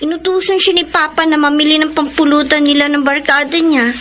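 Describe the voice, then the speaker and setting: A young girl in a Filipino radio soap. The childlike voice is very high, polite and sympathetic, with faint noise around it. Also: flat delivery, brisk, fairly bright tone. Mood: worried, sad. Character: young daughter, sympathetic, polite little niece. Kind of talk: Filipino radio drama acting. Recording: slightly noisy radio drama ambience.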